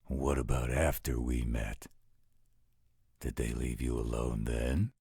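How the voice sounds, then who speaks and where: Very deep, gravelly werewolf guardian. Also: male voice, level tone, mellow, no room sound, clean studio sound, heavily acted. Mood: worried, protective. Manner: slow, protective, fatherly. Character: gruff, overprotective male werewolf guardian, tender toward his ward. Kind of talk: audio roleplay acting.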